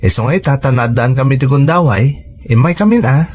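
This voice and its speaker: A man's voice: mid-range voice, lively intonation, brisk, a little mellow, faint music behind, close to an everyday voice. A man playing a respectful, devoted young working man in a radio drama, with an Ilocano accent and an earnest delivery.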